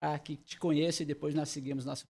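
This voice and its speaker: Welcoming host with a higher man's voice. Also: little pitch movement, fast, creaky, mellow. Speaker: podcast host. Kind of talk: church podcast interview.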